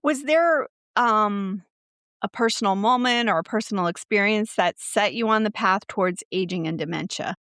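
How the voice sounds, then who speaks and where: A woman's voice: medium pitch, moderate pace, fairly bright tone, no room sound, studio quality. A woman hosting an interview podcast, introducing her guest and asking questions in an earnest manner. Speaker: podcast host.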